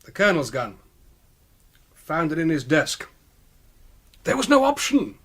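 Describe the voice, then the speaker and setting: An urbane English gentleman in a British radio drama. His voice sits high for a man and swings animatedly, polite and drily teasing with a faint menace underneath. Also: huge pitch swings, a little slow, slightly rough, exaggerated voice.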